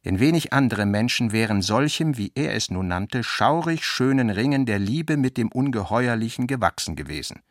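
A man narrating literary prose for a radio play in a measured, deliberate manner. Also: mid-range voice, no echo, studio quality. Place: dry studio.

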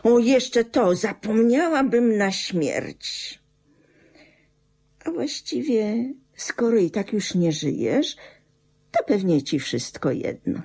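Husky, animated, callous older wife. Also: female voice, on the high side, slow, full character voice. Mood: cold, spiteful. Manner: matter-of-fact, coolly dismissive. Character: callous, self-absorbed, materialistic older wife of a dying man. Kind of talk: radio drama acting.

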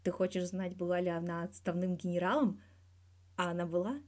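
A woman's voice: on the low side, fairly even intonation, brisk, a little mellow, lightly acted. A woman voicing a candid, wry young male star athlete giving a guarded but blunt interview in a story. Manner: guarded yet blunt, dryly teasing, swears freely.

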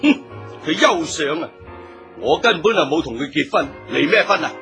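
A brash, shady businessman in a radio drama. His voice is sharp and fairly high, swinging widely as he scoffs and blusters. He is touchy and hot-tempered, with faint music behind him. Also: lively intonation, normal speed. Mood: angry, scornful. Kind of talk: Cantonese radio drama acting.

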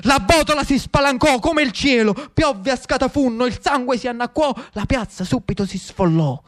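A man's voice: very high voice, expressive, normal speed, fairly bright tone, no echo. Male storyteller, Neapolitan accent, theatrical narration, emphatic phrasing, close dry recording. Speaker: actor-storyteller.

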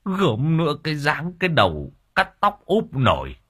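Brief: raging old villager, husky, stammering curses, wild swings in pitch, put on by a male storyteller. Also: wildly swooping pitch, normal speed, full character voice. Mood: furious. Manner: stammering, yelling insults. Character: furious old village man, cursing.

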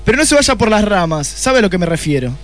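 Casting: male actor as rude, boozy radio-play detective, Argentine, high-pitched male voice, sardonic and curt. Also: big pitch swings, quick pace, a bit bright, soft background music. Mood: knowing, measured. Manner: brusque, sardonic. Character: gruff, rude, hard-drinking male private detective. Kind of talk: comic noir radio play acting.

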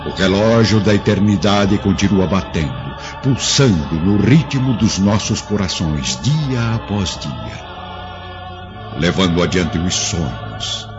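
A man's voice: deep voice, expressive, slow pace. A man narrating a devotional radio drama in a solemn, reverent manner. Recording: muffled, with music score.

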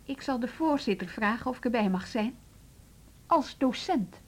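A firm, earnest teacher. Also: female voice, fairly high pitch, lively intonation, moderate pace. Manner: firm and reasoning, insistent. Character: firm, earnest female music teacher Hanneke. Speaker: music school teacher. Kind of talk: radio drama acting.

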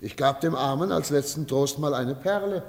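An actor playing an unctuous gentleman who feigns pity, in a theatrical radio play. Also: a little high, moderate pace, lightly acted. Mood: feigned pity. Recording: distant, long hall reverb.

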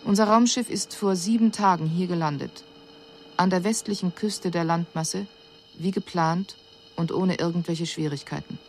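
Sober, lowish-voiced alien envoy played by a woman. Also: close to an everyday voice. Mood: sober, formal. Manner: measured, sober, exact. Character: female alien envoy soberly reporting on her mission. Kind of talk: scripted radio drama, formal report. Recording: radio drama recording with audible background noise.

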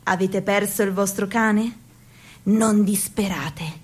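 A woman performing theatrical narration for a radio piece, with expressive swings in pitch and loudness. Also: slow, slightly rough.

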